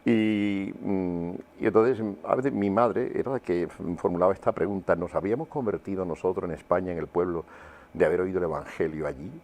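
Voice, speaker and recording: An older man with a low, deep voice. He shares personal testimony as an interview guest, pausing and searching for words as he reflects on his memories. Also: a little mellow. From Huelva, Andalusia, Spain.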